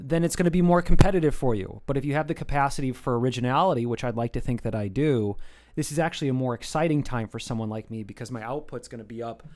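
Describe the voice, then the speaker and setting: An American man chatting casually on a live art stream, thinking out loud as he talks. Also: mid-range voice. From United States. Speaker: streaming artist. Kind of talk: casual chat while drawing on stream.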